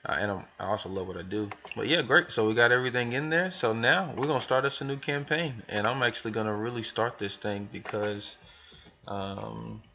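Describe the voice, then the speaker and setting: Low-voiced man, dull lo-fi recording. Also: brisk, gravelly, bright, forceful tone. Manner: informal, rambling, thinking aloud. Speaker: business trainer demonstrating software to new hires. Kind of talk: casual screen tutorial, talking through steps.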